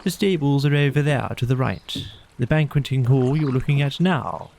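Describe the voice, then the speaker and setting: Man playing a chirpy water rat, lightly creaky. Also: medium pitch, normal speed, darkish tone, a little hiss or hum, natural voice. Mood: fond, chatty. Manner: breezy, chatty, enthusiastic. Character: cheerful, good-natured, indignant male water rat. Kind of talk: storybook character voice in an audio play.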